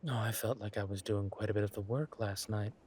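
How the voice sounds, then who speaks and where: A man playing a flirtatious, smug villain king in a roleplay audio drama, talking to his spouse in a husky, teasing, languid way. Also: lowish voice, steady tone, darkish tone. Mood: grudging, flirtatious. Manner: teasing, languid, amused, drawn-out phrasing with murmured asides.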